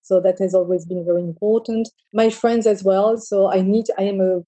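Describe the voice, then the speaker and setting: A woman, answering interview questions in a halting way with many fillers and restarts. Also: mid-range voice, steady tone, mellow. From Belgium. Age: 50s. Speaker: bank executive as interview guest.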